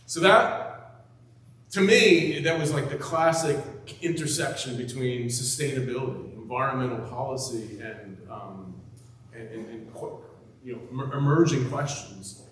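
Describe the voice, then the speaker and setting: A man speaking on a panel, with a loud voice, a casual manner and self-deprecating asides. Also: medium pitch, unhurried. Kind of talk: conversational panel talk. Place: event hall at a hospital. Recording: echoey room, far from mic.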